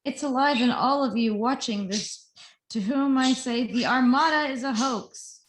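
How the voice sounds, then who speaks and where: A woman's voice: high voice, a little slow. A woman playing an earnest, defiant reporter addressing the public in an amateur table read. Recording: roomy, noisy home recording.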